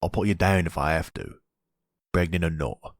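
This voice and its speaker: A man's voice: low-pitched, normal speed, no room sound, clean studio sound. A man playing a cold, vengeful mafia villain in an audio roleplay, curt and threatening. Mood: cold menace.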